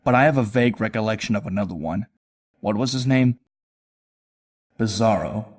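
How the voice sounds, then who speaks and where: An actor playing a sardonic, world-weary young vigilante, narrating and talking in a wry, conversational way in a motion comic. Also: male voice, fairly low pitch, moderate pace, no echo, studio quality, lightly acted. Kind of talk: first-person narration and dialogue, motion comic.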